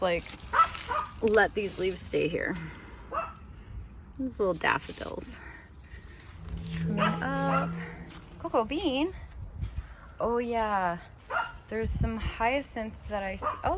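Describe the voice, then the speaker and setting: Canadian lady rambling about her garden plants, outside on a phone mic, voice drifts off sometimes, background noise. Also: a little high. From Ontario, Canada. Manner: chatty, rambling, gushes over plants. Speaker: gardening vlogger. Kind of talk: casual garden walkthrough. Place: outdoors in a home garden. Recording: outdoor noise behind voice.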